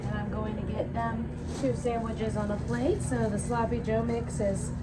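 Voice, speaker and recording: A hoarse middle-aged woman, a mother vlogging casual commentary while cooking in a hotel room on her handheld phone. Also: fairly high pitch, flat delivery, normal speed, very noisy. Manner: chatty, upbeat running commentary. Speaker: vlogger, mother of a large family.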